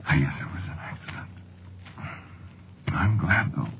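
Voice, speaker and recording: An actor in a vintage radio drama playing a weary, fatalistic man dying of a wound, weak and in pain, speaking in halting, laconic phrases. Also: low-pitched, very animated, vocal fry, full character voice. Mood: faint, fatalistic. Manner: halting, laconic, resigned delivery. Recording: noisy vintage radio recording.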